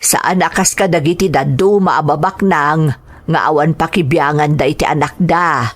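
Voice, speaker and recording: A woman playing a caring middle-aged mother in a radio drama, slow and plain-spoken, with an Ilocano accent. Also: low voice, steady tone. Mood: concerned, serious.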